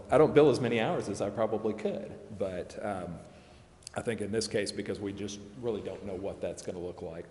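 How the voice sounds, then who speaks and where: Low-voiced man in an echoey hall. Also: warm tone. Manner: plain-spoken, discursive, self-deprecating. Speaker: lawyer advising a city council. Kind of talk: informal explanation at a public meeting. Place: indoor council chamber. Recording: echoey hall with long reverb, background noise.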